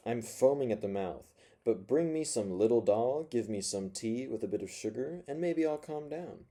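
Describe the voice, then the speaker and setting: A man reading aloud as a spiteful, self-mocking forty-year-old who rants from his corner, swinging between low mutters and loud sardonic outbursts. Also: animated, normal speed, natural voice. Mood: bitter. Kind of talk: first-person audiobook reading.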